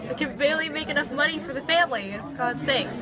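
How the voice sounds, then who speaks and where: A woman's voice: high voice, fast, natural voice. A woman playing a proud, bitter, poor husband and father in an amateur puppet show. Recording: crowd noise behind.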